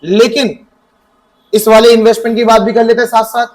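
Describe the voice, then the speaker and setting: Male teacher, high voice, quizzes the class and answers himself. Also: little pitch movement. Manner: asks students questions and answers them himself; reads definitions from the book slowly. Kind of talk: classroom economics lesson.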